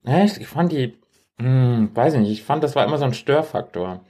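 A man in an easygoing podcast conversation. His mid-pitched voice is conversational and playful, with a teasing edge as he banters with his co-host. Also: animated, moderate pace, dark tone. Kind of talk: casual, bantering chat with a co-host.

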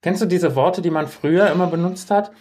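A man's voice: high-pitched, fairly quick, darkish tone, slight background noise. A man bantering casually as a podcast co-host in a playful, teasing way.

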